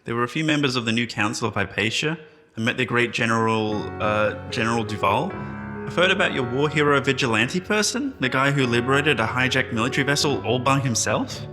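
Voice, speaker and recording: A man's voice: medium pitch, fairly quick, natural voice. An actor playing a wry, modest man revived from cryostasis, speaking in a reflective, conversational way in a sci-fi audio drama. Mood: easygoing, wry. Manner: self-deprecating, reflective, conversational. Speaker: interviewee at a press appearance. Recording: long hall reverb, music behind.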